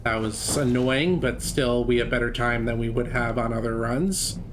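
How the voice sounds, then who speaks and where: A man's voice: mid-range voice, steady tone, normal speed, noisy background. A man giving live speedrun commentary in a casual, self-critical way as he plays. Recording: commentary over loud game music. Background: game music.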